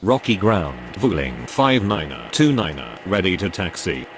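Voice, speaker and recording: A man's voice: sits low, big pitch swings, measured pace, background noise. A man voicing an aviation radio figure in a video game, delivering scripted, clipped procedural calls. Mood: businesslike. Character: adult male airline pilot and air traffic radio voice.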